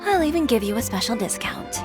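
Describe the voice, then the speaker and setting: An actress playing a confident, brisk young female fashion designer in a video game, matter-of-fact and dryly firm. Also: on the high side, sing-song. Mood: cool, brisk. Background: background music.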